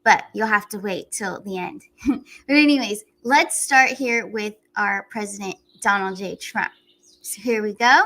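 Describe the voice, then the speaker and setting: A woman hosting a casual livestream, chatty and excitable, laughing often as she talks to her viewers. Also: medium pitch, unhurried. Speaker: livestream host.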